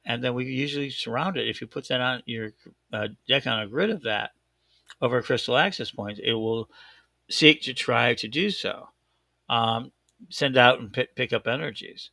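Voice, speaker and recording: A man hosting a casual show in a thick Chicago accent, rambling excitedly and often doubling back on himself. His voice is bright and gravelly. The sound is close and dry. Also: medium pitch, normal speed, no echo. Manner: excitable, rambling, self-correcting. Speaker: host. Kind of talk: casual live-stream chat.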